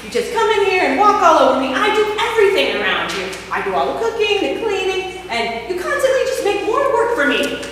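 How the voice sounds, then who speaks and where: Woman acting emotional overworked housewife, high voice, scolding then pleading, distant in a big echoey hall. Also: very animated, a little fast, fairly bright tone. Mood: hurt, angry. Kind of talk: church skit acting.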